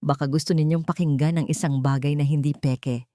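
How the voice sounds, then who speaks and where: A woman voicing a dignified, determined widow who speaks calmly and firmly in a story. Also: low-pitched, fairly quick, no room sound, studio quality, close to an everyday voice. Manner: clear, calm, firm. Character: dignified, determined young widow standing up for herself.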